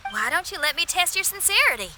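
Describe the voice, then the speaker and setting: Young game heroine, high animated voice, confident, frank, spirited. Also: female voice, quick pace, heavy background noise. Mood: playful, confident. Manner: casual, frank, expressive. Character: confident, spirited young female music-loving adventurer. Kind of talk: video game voice acting.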